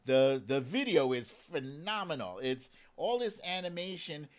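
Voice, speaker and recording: A man's voice: a little high, very animated, unhurried. A man hosting a casual live stream, chatting playfully with exclamations as he addresses his viewers.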